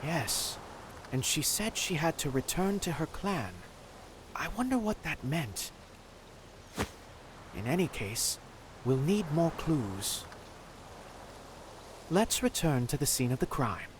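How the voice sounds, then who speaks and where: An actor playing a courteous, composed, analytical male detective in a video game, with formal, deliberate phrasing. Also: fairly high pitch, very animated, background noise. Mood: grave, pondering.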